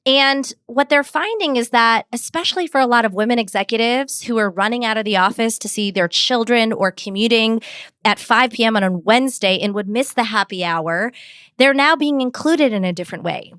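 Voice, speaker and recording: A woman's voice: on the high side, a bit bright, clear, resonant tone, no echo, clean studio sound. A middle-aged woman, a communications expert, answering interview questions in a confident, structured way and giving examples.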